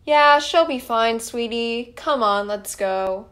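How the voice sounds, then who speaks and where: A woman playing a patient but firm mother, soothing and gently scolding, in an amateur doll stop-motion story. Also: fairly high pitch, slow pace. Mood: concerned. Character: patient, firm, caring mother of two daughters.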